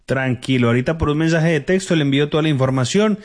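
A man's voice: fairly high pitch, fast. A man working as a radio host, card reader and healer, giving live call-in advice in a familiar, emphatic way with rhetorical questions and repetition.

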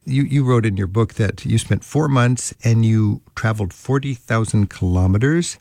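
Gravelly older man hosting an interview. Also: on the low side, normal speed, dark tone, no echo, clean studio sound. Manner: enthusiastic, reminiscing, thinks aloud with restarts. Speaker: travel radio show host. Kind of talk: conversational interview.

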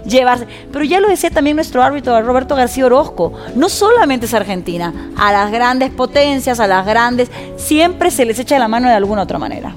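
A woman's voice: fairly high pitch, expressive, fairly quick, hardly any pauses, soft background music. Upbeat woman hosting a sports show. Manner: upbeat, teasing, moves the show along. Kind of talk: studio sports talk show.

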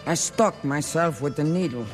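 An actress playing a fiery, tearful middle-aged seamstress with an Italian accent, who speaks blunt, emotional broken English. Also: female voice, low voice, normal speed, in a large hall, over music, close to an everyday voice. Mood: gentle. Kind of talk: 1950s film drama acting.

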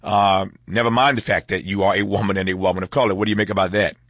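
Male interview host. Lower-pitched, deliberate, halting delivery, dry studio sound. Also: fairly even intonation, fairly bright tone, dry recording. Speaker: talk show host. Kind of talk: conversational radio interview.

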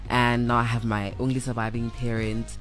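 A young South African woman with a deep voice, chatting playfully as a radio guest and slipping in Zulu phrases. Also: level tone, normal speed, faint music behind. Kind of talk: casual interview chat.

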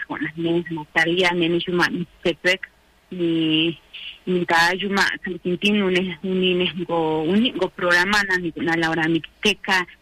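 A woman co-hosting a community radio show, a native Triqui speaker chatting in a relaxed, friendly way with many fillers. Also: lowish voice, no echo, low-quality recording. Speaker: radio co-host. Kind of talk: casual radio chat.

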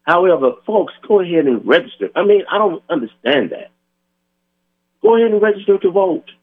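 Hoarse man hosting community radio. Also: high voice, big pitch swings, brisk. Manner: earnest, urging listeners directly, many fillers. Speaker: radio talk show host. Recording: tinny phone-like radio audio.

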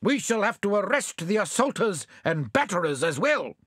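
Pompous cowardly mayor, high and blustering, storybook; man reading. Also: very animated, normal speed, a bit bright, breathy edge, no room sound, clean studio sound, exaggerated voice. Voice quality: blustering, self-important.

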